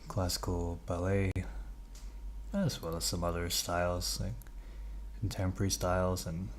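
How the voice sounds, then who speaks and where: Low-voiced young english guy, slow and hesitant, lots of ums, chatting while he stretches. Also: slight background noise. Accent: English with Norwegian upbringing. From England. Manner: relaxed, hesitant, many ums and uhs. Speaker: vlogger. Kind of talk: casual vlog narration. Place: home room, while stretching.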